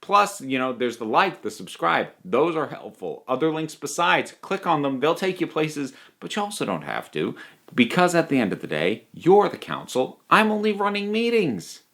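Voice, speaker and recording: A man giving a casual spoken review as a video host, chatty and emphatic in an animated way. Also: fairly high pitch, normal speed.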